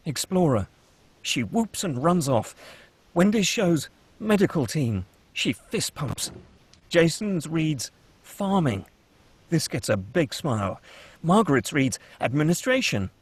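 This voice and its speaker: A man narrating the stage directions at a script table read, steady and descriptive. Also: fairly high pitch, slow, slightly rough, no echo.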